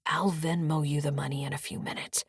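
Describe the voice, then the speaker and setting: A woman's voice: low-pitched, flat delivery, fairly quick, slightly rough, no echo, studio quality, exaggerated voice. A woman voicing a secretive, irritated husband in an audiobook, whispering curtly. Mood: curt, grudging. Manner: whispering, curt. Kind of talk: audiobook character dialogue.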